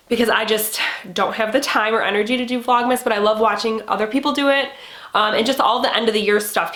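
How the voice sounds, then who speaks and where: A woman's voice: medium pitch, a bit bright, roomy sound. A young woman sounding nasal from a cold, chatting casually and rambling with fillers as a book channel host. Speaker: BookTube host. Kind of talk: casual reading-plans chat.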